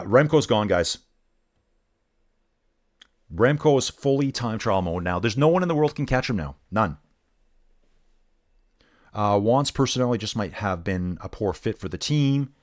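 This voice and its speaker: A middle-aged man casually commenting on a bike race live and chatting with viewers, laid-back and saying "dude" a lot. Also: fairly low pitch. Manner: laid-back, says "dude" often, reads chat aloud.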